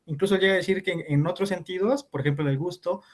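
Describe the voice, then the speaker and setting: Guy a bit high-pitched answering panel questions, lots of ehs and restarts. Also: quick pace. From Latin America. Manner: hesitant, many restarts and fillers. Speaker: conference speaker. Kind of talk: academic Q&A answer.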